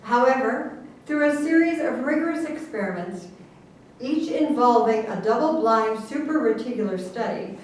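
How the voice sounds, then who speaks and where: A woman in a staged reading playing an earnest, pompous government official who lectures with deliberate emphasis. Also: on the high side. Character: government official earnestly explaining absurd secret science. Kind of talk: theatrical staged reading of a satirical play. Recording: very echoey room, distant mic, faint noise.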